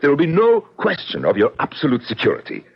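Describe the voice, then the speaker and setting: A pompous, persuasive theatre impresario, whose pitch swings dramatically. He declaims in a slightly husky voice on a dull old radio play recording. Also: huge pitch swings, quick pace, full character voice. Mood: solemn. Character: persuasive, grandiose theatrical impresario, forceful and solemn. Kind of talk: 1970s radio drama acting.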